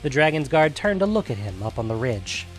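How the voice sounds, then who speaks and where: A man's voice: medium pitch, sing-song, fairly quick. Male narrator, mid-range voice, dramatic fantasy storytelling with faint music underneath.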